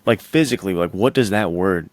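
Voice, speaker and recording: A man's voice: sits low, brisk. A man co-hosting a podcast in casual, slangy, enthusiastic chat. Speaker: podcast co-host.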